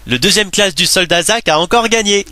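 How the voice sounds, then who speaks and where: A man voicing an easygoing, loyal young soldier in an amateur video game dub, casual and expressive. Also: sits high, fairly quick, faint music behind. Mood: cheerful.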